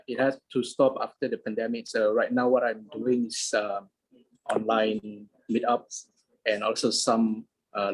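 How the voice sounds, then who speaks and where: Husky-voiced man, hesitant speech. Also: mid-range voice, low-quality recording. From Medan, Indonesia. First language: Medan Hokkien. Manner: hesitant, many "uh" fillers. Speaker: interviewee, polyglot. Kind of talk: casual interview answers.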